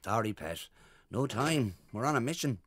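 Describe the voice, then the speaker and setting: An actor playing a busy working man in a children's audio story, hurried and matter-of-fact. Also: medium pitch, expressive, fairly bright tone, slightly rough. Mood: busy, preoccupied. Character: busy working men, a dad and driver.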